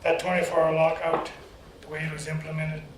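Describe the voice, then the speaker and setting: A man hosting a story circle, speaking in a plain, halting way. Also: a little high, monotone. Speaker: host. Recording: distant echoey room, faint noise.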